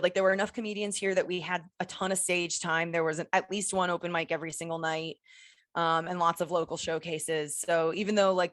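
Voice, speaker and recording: A woman's voice: sits low, no echo, clean studio sound. An American woman from Texas chatting casually as a guest comedian, telling things informally with joking asides. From Texas, United States. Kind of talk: casual chat.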